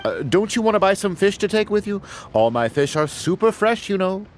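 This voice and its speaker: A man's voice: sits high, very animated, fairly quick, a little hiss or hum. An actor playing a male fishmonger in a video game, chatty and obliging like a salesman. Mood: obliging, hopeful. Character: chatty fishmonger pushing fresh fish. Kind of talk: video game character dialogue.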